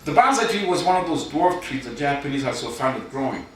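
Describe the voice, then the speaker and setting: A man's voice: a little high, animated, fast talker, a bit bright. Echoey middle-aged man reading poetry live. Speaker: poet. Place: live event in a reverberant room, audience present. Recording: very echoey hall, distant, noisy.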